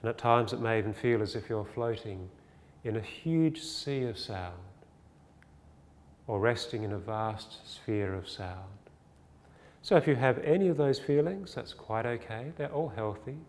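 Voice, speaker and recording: A man teaching a guided body scan meditation, giving gentle, soothing, unhurried instructions with pauses. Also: mid-range voice, lively intonation. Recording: long reverb, faint music behind.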